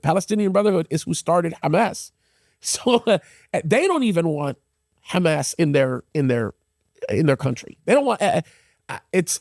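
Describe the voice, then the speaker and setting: Higher-voiced man, halting and emphatic. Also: darkish tone, dry recording, clean studio sound. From United States, Egyptian parents. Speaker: interview host. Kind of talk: conversational interview, giving opinions.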